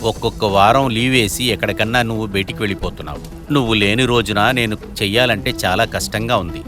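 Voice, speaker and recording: A man voicing a kind elderly eatery owner in an animated moral story, speaking in a gentle, plain, fatherly manner. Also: fairly low pitch, fairly quick, over music, close to an everyday voice. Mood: mildly scolding.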